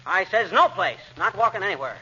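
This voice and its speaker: An American actor playing a grumpy, folksy, blustering husband in an old radio comedy. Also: male voice, high voice, expressive, fairly quick, pushed and bright, slightly rough, full character voice. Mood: irritable. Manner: folksy, irritable, grumbling. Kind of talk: 1940s radio comedy acting. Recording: muffled old radio recording, hiss, studio audience.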